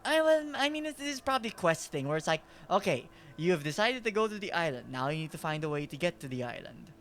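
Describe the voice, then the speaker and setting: Streamer, young man, Filipino accent, fairly high voice, laughs a lot while rambling. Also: big pitch swings, quick pace, fairly bright tone, a little hiss or hum. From Philippines. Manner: chatty, rambling, self-deprecating, laughs a lot. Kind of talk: casual game-stream chat.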